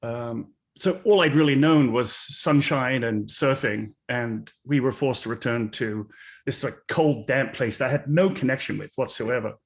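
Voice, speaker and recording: A man raised in Australia and England, a musical's co-creator, answering interview questions in a reflective, halting way. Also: mid-range voice. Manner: reflective, halting, with many fillers.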